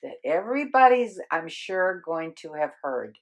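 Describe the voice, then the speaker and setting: An older woman reading a holiday poem aloud as a storyteller, theatrical and expressive, with dramatic pauses. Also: low voice.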